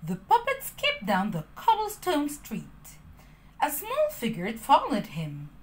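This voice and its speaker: Storyteller woman, slow and very expressive, a little high, children's read-aloud. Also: a little hiss or hum. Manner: animated, addressing children.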